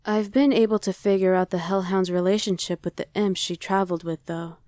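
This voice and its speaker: A woman's voice: on the low side, fairly even intonation, brisk, dark tone, no room sound, lightly acted. A woman playing a casual young female survivor of a demon invasion in an ASMR roleplay. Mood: casual, explaining. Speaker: voice actor.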